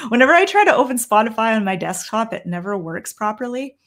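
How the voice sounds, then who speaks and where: A woman's voice: a little high, very animated, brisk, fairly bright tone. A young Canadian woman with a Canadian accent hosting a casual tarot Q&A livestream from a room at home, thinking aloud with frequent ums and restarts. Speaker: tarot reader and livestream host.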